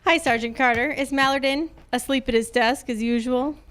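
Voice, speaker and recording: A woman's voice: high voice, steady tone, a bit bright, slight background noise. A woman acting a sassy, wry female private detective in an old-time radio detective drama. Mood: teasing. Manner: wry, brisk, teasing. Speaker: amateur radio club performer.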